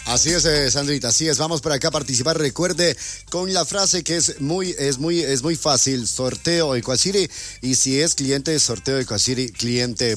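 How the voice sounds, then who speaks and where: A man with a mid-range voice works as a live radio host. He moves through sponsor promotion and patter courteously and agreeably, often doubling back on his own phrases. Soft music runs faintly behind him. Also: mid-range voice.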